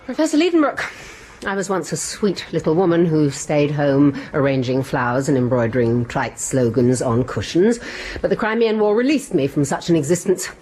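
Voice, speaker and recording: An actress playing a brisk, independent Victorian lady explorer with an English accent and a commanding, haughty manner in a radio comedy-adventure. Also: sits low, lively intonation, warm tone. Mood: proud, brisk. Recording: noisy radio broadcast with ambient effects.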